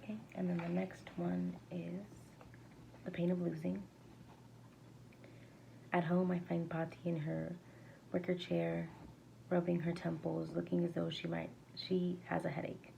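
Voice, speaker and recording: Creaky-voiced woman reading aloud. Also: on the low side, monotone, mellow, lo-fi sound. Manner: halting, stumbles over words and rereads. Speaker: amateur reader. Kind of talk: reading a verse novel aloud. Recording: faint background noise.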